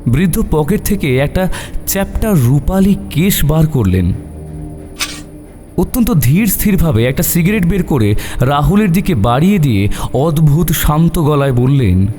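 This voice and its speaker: A man's voice: fairly high pitch, sing-song, moderate pace, mellow. A man giving a dramatic, scripted narration of a horror story. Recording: long reverb over faint music. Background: faint music.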